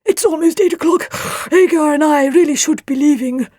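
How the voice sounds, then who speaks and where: A genteel monster parent from a storybook, acted by a woman narrator, raised high and close-miked. The delivery is fussy, emphatic and grumbling, with polite manners. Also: normal speed, mellow, dry recording, lightly acted.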